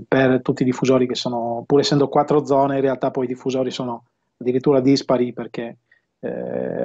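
Man in his fifties, soft-edged mellow tone, casual interview talk, pausing mid-sentence, dull video-call sound. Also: mid-range voice. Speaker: audio system installer and dealer being interviewed. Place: home room with bookshelves, indoors. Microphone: earphone headset on a video call.

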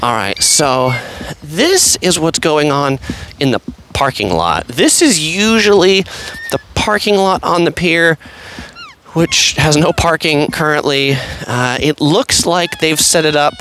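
A man's voice: on the high side, animated, slow, a bit bright, slight background noise. A man casually narrating an outdoor walking tour on a pier, chatty and rambling, full of fillers and asides. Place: outdoors on an empty seaside pier.

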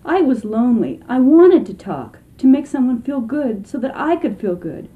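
A woman's voice: high-pitched, big pitch swings, normal speed, phone-quality sound. An actress playing a lonely, indignant woman protesting in a satirical radio comedy. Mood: accusing, hurt.

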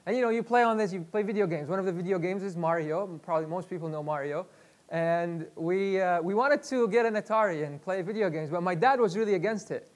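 A man's voice: high-pitched, brisk. Chatty man from Iraq speaking in a lecture room. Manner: chatty, repeats words, many fillers. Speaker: guest speaker. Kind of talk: live workshop talk. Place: lecture room with projector screen.

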